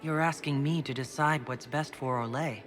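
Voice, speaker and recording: A woman's voice: very deep voice, normal speed. An actress voicing the female leader of a holy order in a video game, speaking directly and inquisitively. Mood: questioning. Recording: game dialogue over loud music.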